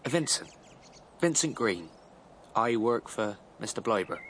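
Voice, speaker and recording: An actor playing a polite, puzzled driver who speaks haltingly in a radio drama. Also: male voice, on the low side, sing-song, fairly bright tone, a little hiss or hum. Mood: polite, content. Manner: halting, hesitant.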